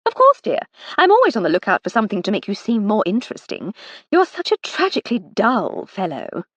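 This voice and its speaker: A woman's voice: medium pitch, huge pitch swings, a little fast, a bit bright, no room sound. A woman voicing a posh, teasing upper-class English lady who drawls with playful mockery. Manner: arch, drawling and playfully mocking.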